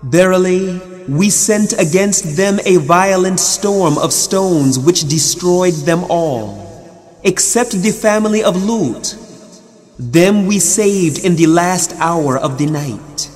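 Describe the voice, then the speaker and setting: A man reads translated scripture in a high-pitched, solemn voice. He goes slowly and formally, placing each phrase with care, and long reverb trails behind his words. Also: darkish tone, soft background music. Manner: measured, formal, deliberate phrasing. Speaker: reader of Quran translation.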